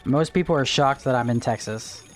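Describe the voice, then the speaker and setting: A man's voice: medium pitch, normal speed, soft background music. A young man chatting casually on a livestream, greeting viewers and explaining game items as he plays. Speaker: streamer. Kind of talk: casual livestream chat while gaming.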